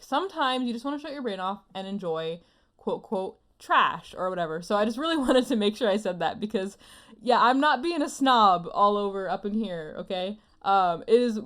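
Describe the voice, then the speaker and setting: A woman co-hosting a casual comedic anime podcast in an informal, self-deprecating way. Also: medium pitch. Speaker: podcast co-host.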